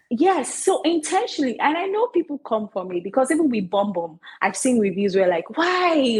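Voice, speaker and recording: Nigerian woman, author guest; husky, emphatic, playful. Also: mid-range voice, a little mellow, low-quality recording. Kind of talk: animated podcast interview.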